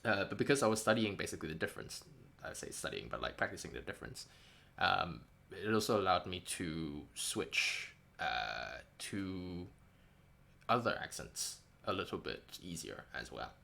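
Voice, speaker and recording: A man's voice: low-pitched, big pitch swings, normal speed, gravelly, pushed and bright. A man with a light New Zealand English accent, chatting casually and wryly on a live stream, often correcting himself. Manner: rambling, self-correcting, wry. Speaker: indie game developer streaming a devlog.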